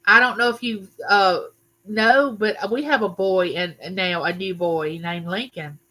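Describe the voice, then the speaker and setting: An older woman, hosting a casual live chat from her home, talking loudly and chattily and greeting viewers by name. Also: medium pitch, measured pace, poor audio. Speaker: livestream host. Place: home room used for filming.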